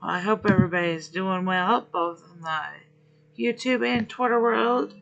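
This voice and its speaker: Hoarse, gravelly-voiced stream host. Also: male voice, high voice, a bit bright, lo-fi sound. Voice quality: rough, gravelly. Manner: unhurried, friendly greetings between pauses. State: hoarse, losing his voice. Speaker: livestream host. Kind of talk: relaxed gaming stream chat, greeting viewers.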